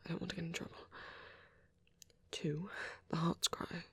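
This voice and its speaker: A man voicing a shy, soft-spoken vampire boy in an ASMR roleplay, hesitant and breathy, often slipping into a whisper. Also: a little high, level tone. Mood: soft, musing. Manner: hesitant, halting, gently spoken. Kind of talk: ASMR, soft rambling and reading aloud.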